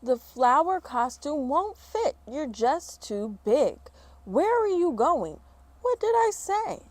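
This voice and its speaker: A woman playing a tactless, scolding female teacher in a storybook, loud, chiding and emphatic. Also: sits high, very animated, slow, no echo, voice pushed up, heavily acted. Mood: tactless, exasperated.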